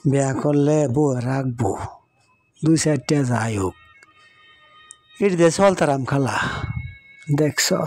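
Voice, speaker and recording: A man's voice: a little high, a little mellow, no room sound. A man voicing a poor, humble, hardworking village husband in a cartoon moral story, with an earnest manner and rural Bangladeshi Bengali accent. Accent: rural Bangladeshi regional Bengali.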